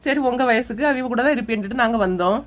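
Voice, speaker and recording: A woman voicing a bold, practical younger woman in a cartoon comedy, brisk and persuasive, with a Kanyakumari Tamil accent. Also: a little high, natural voice.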